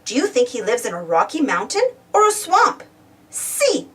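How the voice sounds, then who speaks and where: Female children's storybook reader, high voice, highly animated and exclamatory, recorded a little away from the mic. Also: moderate pace. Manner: animated, exclamatory, addresses young listeners with questions. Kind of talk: expressive children's read-aloud. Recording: distant from the mic.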